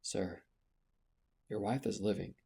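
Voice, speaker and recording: A man reading an audiobook, voicing a resolute young woman governess in a quiet, firm voice. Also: fairly low pitch, steady tone, takes it slowly, creaky, breathy edge, exaggerated voice.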